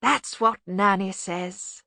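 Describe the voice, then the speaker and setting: A woman's voice: medium pitch, takes it slowly, gravelly, sharp tone, no echo, clean studio sound, exaggerated voice. A woman voicing a fragile, dotty male university bursar, vague and rambling, in an audiobook. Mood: dotty, wavering. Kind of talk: acted audiobook dialogue.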